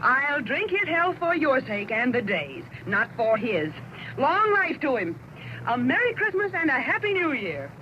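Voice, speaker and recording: An actress playing Mrs Cratchit, a brisk, scornful mother in a 1930s radio drama. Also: sits high, very animated, bright, forceful tone, exaggerated voice. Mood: indignant. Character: indignant mother Mrs Cratchit, sharp-tongued. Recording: muffled old radio, crowd and noise.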